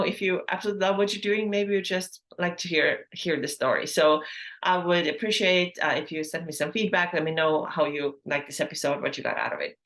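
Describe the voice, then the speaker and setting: A woman's voice: fairly low pitch, fairly bright tone. Chatty Estonian-born woman podcaster. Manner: chatty, lots of fillers and self-corrections. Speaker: podcast host. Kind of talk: casual solo podcast monologue.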